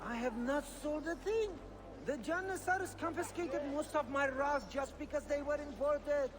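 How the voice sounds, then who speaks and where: An actor playing a wheedling, jovial bazaar merchant in a video game, haggling theatrically. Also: male voice, very high voice, normal speed. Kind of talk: video game character acting.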